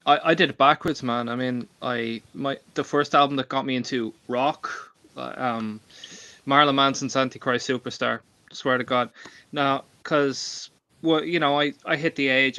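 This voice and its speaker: A man's voice: mid-range voice, bright, forceful tone, no echo. An Irish man telling personal stories casually on a livestream panel, emphatic and given to swearing. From Ireland. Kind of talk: casual chat, personal storytelling. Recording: dull, lo-fi microphone.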